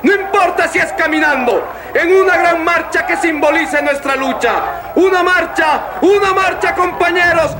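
Casting: fiery union orator, strained high shout, urgent, rousing; big noisy crowd behind. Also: male voice, moderate pace. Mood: urgent, rallying. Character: rousing miners' union leader addressing comrades. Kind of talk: radio drama rally speech. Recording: loud outdoor crowd and noise.

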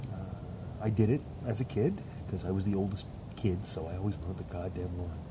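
A man's voice: low voice, a little fast. A man with a stuffed-up, congested voice chatting casually, rambling and joking, swearing now and then. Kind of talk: casual chat. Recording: outdoor noise, crickets behind.

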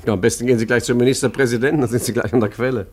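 An actor in a German radio play playing an urbane, evasive art forger who talks hesitantly with polite irony. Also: male voice, mid-range voice, fairly even intonation, racing, close to an everyday voice. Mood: flustered, wry. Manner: hesitant, stammering, politely ironic.

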